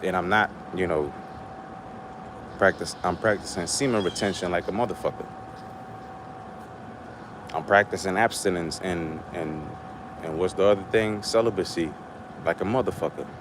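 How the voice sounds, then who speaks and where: A man's voice: low-pitched, normal speed, pauses often, noisy background. A middle-aged man from Trinidad with a Trinidadian accent, rambling bluntly and swearing freely on a casual livestream. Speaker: livestream host.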